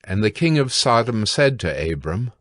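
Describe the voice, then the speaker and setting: A man narrating scripture from a script in a measured, deliberate way. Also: fairly low pitch, sing-song, no echo, studio quality.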